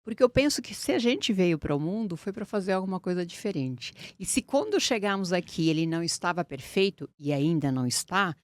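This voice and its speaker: A middle-aged woman from Portugal, a lawyer speaking as an interview guest in an earnest, deliberate way. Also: lowish voice, big pitch swings, dry recording. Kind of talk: interview conversation.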